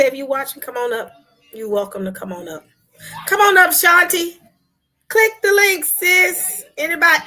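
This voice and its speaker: A woman hosting a casual livestream chat, talking to her viewers with soft whispery asides and sudden loud bursts. Her voice is husky, as if she is losing it. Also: a little high. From Memphis, United States. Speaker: livestream host.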